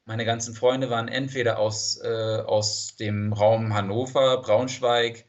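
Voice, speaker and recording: A man's voice: medium pitch, steady tone, measured pace. A man, an English speaker, answering interview questions with many fillers and restarts. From United States. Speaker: interview guest, language teacher. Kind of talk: casual interview conversation. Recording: dull lo-fi sound.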